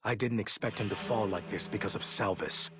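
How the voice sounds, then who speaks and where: An actor playing a grave, determined militia captain in a fantasy game, speaking in a solemn, measured way. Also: male voice, on the low side, close to an everyday voice. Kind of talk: dramatic scripted game narration. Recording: loud music under the voice.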